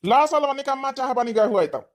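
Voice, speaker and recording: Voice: high voice, animated, fairly quick. An actor voicing a plaintive village father who misses his married daughter, with an Awadhi accent in a cartoon comedy. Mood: wistful. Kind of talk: dialect cartoon comedy acting.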